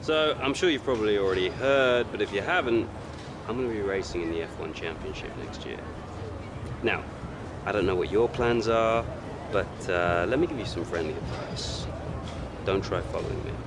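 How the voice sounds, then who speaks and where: A man's voice: fairly low pitch, moderate pace, background noise, close to an everyday voice. An actor playing an arrogant young male racing driver in a video game cutscene, sarcastic and condescending. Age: young adult.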